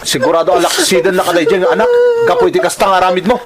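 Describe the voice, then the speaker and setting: A man acts out a sneering, abusive big brother in an audio melodrama. His voice is high for a man and leaps wildly up and down as he scolds with open aggression and contempt. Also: huge pitch swings, quick pace, heavy background noise. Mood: angry scolding. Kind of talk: melodramatic radio-style drama acting.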